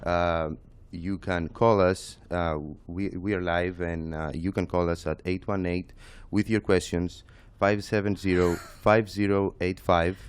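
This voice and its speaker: A young man with a Greek accent, co-hosting a real estate radio talk show, halting with many fillers. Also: low voice, little pitch movement, smooth, dry recording. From Greece. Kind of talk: casual radio chat. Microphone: studio microphone.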